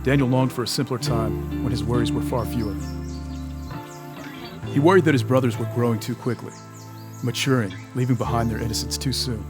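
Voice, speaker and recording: A man's voice: medium pitch, steady tone, fairly quick, pauses often, over music. A man narrating an audio drama in a scripted storytelling style.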